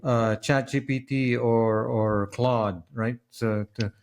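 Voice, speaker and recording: A man from the Philippines hosting a podcast in casual interview chat, halting and often repeating the start of his phrases. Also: mid-range voice, steady tone, a little mellow. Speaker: podcast host.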